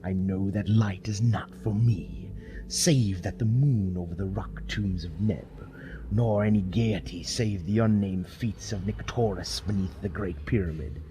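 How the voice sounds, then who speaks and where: A man with a low voice narrates a horror story in a solemn, theatrical style. His phrasing is drawn out and dramatic. Clear ambient noise runs behind him.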